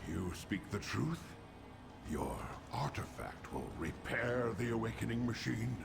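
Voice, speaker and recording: A man acting a zealous, gullible stone-dwarf high priest in a video game, grave and fervent. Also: big pitch swings, a little slow, gravelly, exaggerated voice. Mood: awed, fervent. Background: loud music.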